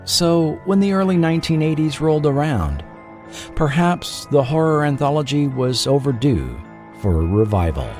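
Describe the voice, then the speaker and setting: A man hosting a podcast, reading scripted documentary narration with measured, dramatic phrasing. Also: a little high, lively intonation, darkish tone. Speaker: podcast host. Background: faint background music.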